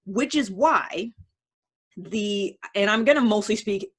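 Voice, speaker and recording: A woman's voice: mid-range voice, animated, takes it slowly, husky. A woman hosting a show, explaining ideas in a casual monologue, thinking aloud in informal language. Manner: informal, self-referential, thinking aloud with examples. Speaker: host of a personality-typing show.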